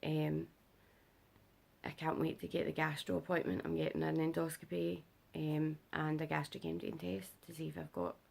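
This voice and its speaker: A young British woman talks to camera in a low, deep register, her voice heavily gravelly and rough from illness. She rambles through a casual update full of fillers, sounding worn out and short on sleep. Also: level tone, moderate pace, a little mellow. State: unwell and sleep-deprived. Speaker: vlogger. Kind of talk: casual health update vlog.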